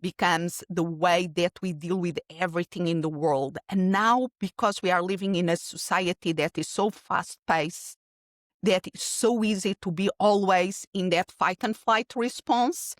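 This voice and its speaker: Portuguese lady explaining stuff slow and earnest, kinda low voice, dry close sound. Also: steady tone, no echo. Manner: earnest, reflective, speaks in long explanatory runs with restarts. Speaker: keynote speaker guest. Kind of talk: podcast interview answer.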